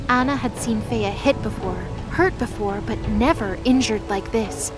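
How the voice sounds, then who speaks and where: A woman narrator reading a scripted dramatic fantasy story. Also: fairly high pitch.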